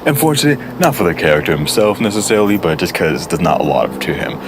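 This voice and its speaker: A man giving a casual book review to camera, rambling with lots of fillers and restarts, his voice worn low from a day of talking. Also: big pitch swings, quick pace, noisy background. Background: guitar music.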